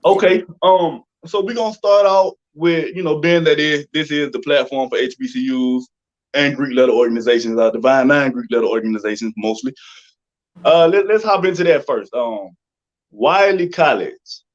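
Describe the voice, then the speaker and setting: A slightly muffled, lo-fi recording of an American man with a fairly high voice. He hosts a casual interview, phrasing his questions informally and working them out aloud. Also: normal speed. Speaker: interview host. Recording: dull lo-fi audio.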